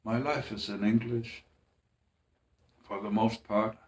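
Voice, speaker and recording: A man speaking in a halting, hesitant way, with restarts and long pauses, in a personal monologue. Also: lowish voice, fairly even intonation. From Greenland. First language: Danish.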